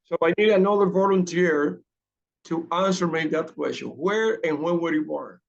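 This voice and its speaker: A man's voice: a little high, moderate pace, creaky, highs cut off, a little hiss or hum. A man teaching an English class online, patient and repeating his questions to coax students to speak.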